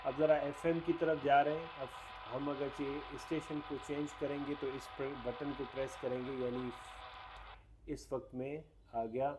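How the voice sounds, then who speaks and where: A man's voice: medium pitch, level tone, measured pace, background noise. A man giving a casual hands-on product demonstration, explaining step by step and mixing in English technical terms. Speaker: radio reviewer.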